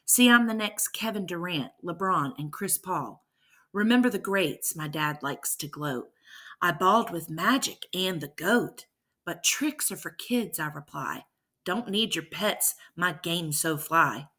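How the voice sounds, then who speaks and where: A woman's voice: on the low side, lively intonation, a little slow, pushed and bright. A woman teacher reading a verse story aloud with rhythmic, emphatic delivery and brief explanations.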